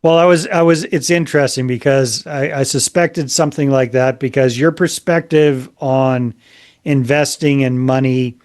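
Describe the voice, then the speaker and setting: A man hosting a podcast interview, who mostly gives short affirmations and asks leading questions. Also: medium pitch. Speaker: podcast host.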